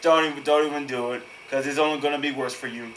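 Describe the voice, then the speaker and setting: A man's voice: mid-range voice, steady tone, fast talker, a bit bright, a little hiss or hum. A man giving casual, emphatic reaction commentary, repeating words for effect. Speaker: host reacting to a horror video.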